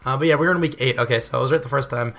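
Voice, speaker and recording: A young man with a voice still hoarse from illness, giving casual, rambling video game commentary and often correcting himself. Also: mid-range voice, racing, a bit bright. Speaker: gaming channel host.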